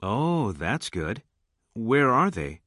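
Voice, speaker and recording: A man playing a friendly junior high school student in scripted English listening test material, enunciating carefully. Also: big pitch swings, takes it slowly, pushed and bright, dry recording, clean studio sound, heavily acted. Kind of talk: scripted English lesson dialogue.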